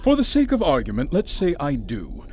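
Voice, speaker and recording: Radio drama: weary, bookish young skeptic, formal and sardonic, widely varied intonation. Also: male voice, on the high side, huge pitch swings, normal speed, a little hiss or hum. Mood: pleading, uneasy.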